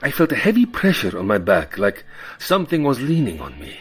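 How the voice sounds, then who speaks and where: Anxious, breathy-voiced male client. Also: mid-range voice, huge pitch swings, a bit bright, heavily acted. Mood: worried, halting. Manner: hesitant, halting, guarded, formal. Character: anxious, earnest, suspicious male client of a psychic. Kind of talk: audio drama acting. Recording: music under the voice.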